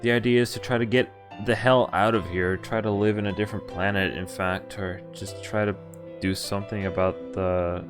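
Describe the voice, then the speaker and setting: A man's voice: lowish voice, steady tone, normal speed. A man streaming a visual novel, reading the dialogue aloud and commenting casually in a dry, sardonic way. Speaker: game streamer. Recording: game music clearly behind voice. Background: game music.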